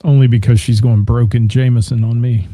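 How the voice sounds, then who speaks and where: Radio co-host, hoarse, very dark husky tone, dry studio sound. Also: male voice, mid-range voice, steady tone, brisk, no echo. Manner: joking, rambling, with restarts. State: losing his voice. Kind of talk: casual on-air chat, reading listener comments.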